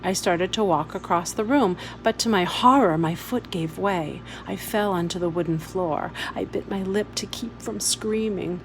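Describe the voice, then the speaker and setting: A woman's voice: fairly low pitch, slight background noise, rough recording. A woman reading a story aloud expressively, as a teacher would for pupils. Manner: instructive, pausing to point out details.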